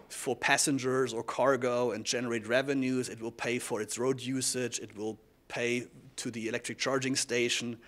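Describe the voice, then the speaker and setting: A man with a German accent speaks as a conference presenter, explaining things to listeners. His voice sits at an average male pitch. He often ends his phrases with small questioning tags. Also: fairly bright tone. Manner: frequent questioning tags like "yeah?" and "right?". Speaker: technology foundation speaker giving a presentation.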